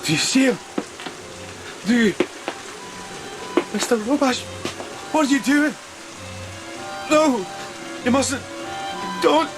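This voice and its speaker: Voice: high voice, expressive, takes it slowly, long pauses, full character voice, poor audio. An actor playing a timid young male sailor, deferential and anxious, in a gothic horror audio drama. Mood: frightened. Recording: rain and music behind.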